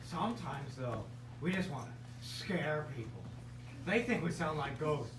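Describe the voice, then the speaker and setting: A man voicing a goofy loon bird in a puppet show, loud and playful. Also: a little high, sing-song, unhurried. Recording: noisy, echoey room from far off.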